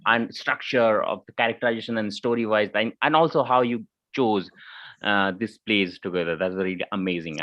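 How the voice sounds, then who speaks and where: Indian-accented man, lowish pitch, halting casual judge's comments, dry sound, slightly dull call audio. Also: a bit bright, dry recording. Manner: halting, loosely phrased, mixes in Hindi. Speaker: judge giving feedback on student plays. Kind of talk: casual spoken feedback. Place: online video call.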